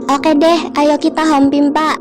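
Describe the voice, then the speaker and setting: A woman's voice: level tone, unhurried. A cheerful little girl. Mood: playful. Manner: high childlike voice. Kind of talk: cartoon voice acting. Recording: music playing behind.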